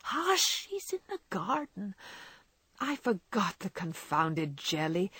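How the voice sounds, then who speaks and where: A woman's voice: fairly low pitch, big pitch swings, moderate pace, a bit bright, husky, no room sound, full character voice. A woman reading aloud voices an anxious young husband who speaks to his wife in an urgent, coaxing, tender way.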